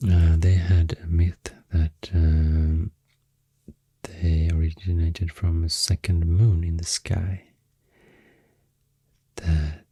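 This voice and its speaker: A man with a Swedish accent speaking softly and breathily, often close to a whisper, in a soothing ASMR ramble, close to the microphone. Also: level tone, dry recording. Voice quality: deep, soft, breathy, often near whisper. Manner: slow, hushed, soothing. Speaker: ASMR host. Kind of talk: soft-spoken ASMR rambling.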